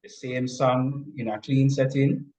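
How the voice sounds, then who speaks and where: A man with a Jamaican accent talking as an interview guest, giving advice in a halting way with repeated words. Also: medium pitch, flat delivery, darkish tone. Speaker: guest, music studio professional.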